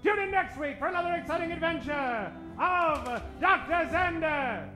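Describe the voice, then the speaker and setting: A man narrates like a radio serial announcer, high in pitch and theatrical. His voice rings in a roomy space, with music clearly behind him. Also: animated, moderate pace. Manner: dramatic announcer delivery. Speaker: host and narrator.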